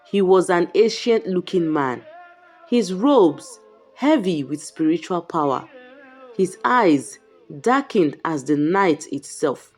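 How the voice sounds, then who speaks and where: A woman with a fairly low voice narrates a folktale as a storyteller. Her delivery is slow and suspenseful, broken into deliberate short phrases, and her pitch moves expressively over background music. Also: lively intonation. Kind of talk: dramatic scripted folktale narration.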